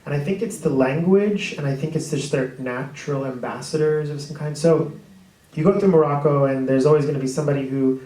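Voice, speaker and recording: A man's voice: a little high, fairly quick, far from the mic. Rambling man telling stories on stage. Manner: rambling, animated, gestures a lot. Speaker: film director guest. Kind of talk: storytelling in a panel talk. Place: hall with audience. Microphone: handheld mic. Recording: echoey hall taped from afar.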